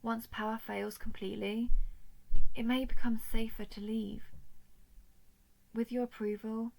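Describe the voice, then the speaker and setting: A female robot soldier, acted by a woman, speaks with a fry-edged voice at a medium pitch. She is formal, measured and emotionless, yet dutiful and protective as she addresses the listener in an audio roleplay. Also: a little mellow, low-quality recording. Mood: calm, reassuring.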